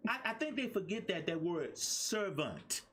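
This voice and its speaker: Male talk show host, husky voice, lively pitch, encouraging, affirming. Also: a little slow.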